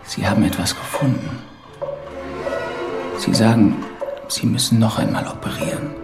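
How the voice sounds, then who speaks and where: An actor playing a patient, dutiful middle-aged son in a radio drama, hushed and often near a whisper. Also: male voice, fairly low pitch, animated, moderate pace. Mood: soothing, subdued. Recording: clear music behind the voice.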